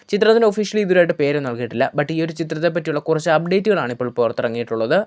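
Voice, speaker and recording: Rapid-fire movie news presenter. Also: medium pitch, a little mellow. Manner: mixes English words into speech. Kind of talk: movie update narration for online video.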